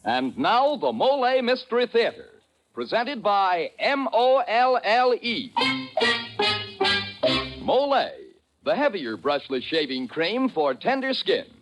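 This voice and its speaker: A male 1940s radio announcer with a fairly high, very animated voice. He pitches products emphatically, with faint music and the dull sound of an old broadcast. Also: slow pace. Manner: emphatic, repetitive salesman delivery. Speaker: radio announcer reading sponsor ads.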